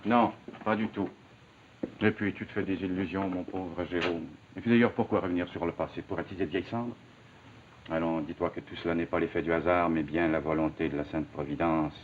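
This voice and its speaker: A man's voice: deep voice, steady tone, fairly quick, lightly acted. An actor playing a stern, moralizing middle-aged father in a 1950s television melodrama, formal and grandiloquent. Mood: admonishing. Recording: muffled, faintly noisy 1950s television soundtrack.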